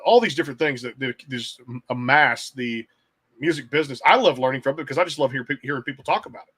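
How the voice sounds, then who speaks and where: A man's voice: mid-range voice, fast talker, fairly bright tone, husky. A middle-aged man hosting an interview show, talking in a rambling way and repeating phrases. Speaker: podcast host.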